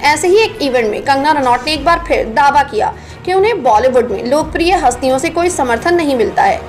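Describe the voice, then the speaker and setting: A woman narrating scripted entertainment news as a voice-over. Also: high-pitched. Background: background music.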